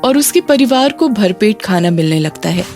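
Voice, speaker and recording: A woman's voice: mid-range voice, expressive, moderate pace, darkish tone. A woman narrating a scripted children's story as a storyteller. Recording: music bed under narration.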